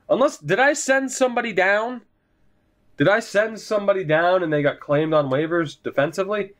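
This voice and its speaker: A young man giving casual, rambling commentary over a hockey video game, swearing often and joking at his own expense. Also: a little high. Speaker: gaming YouTuber.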